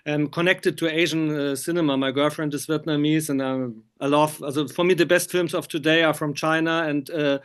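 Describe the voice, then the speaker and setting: Higher-voiced man, halting English. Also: fairly even intonation, a bit bright, smooth. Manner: chatty, halting non-native English phrasing. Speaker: film magazine interviewer. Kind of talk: casual interview over video call.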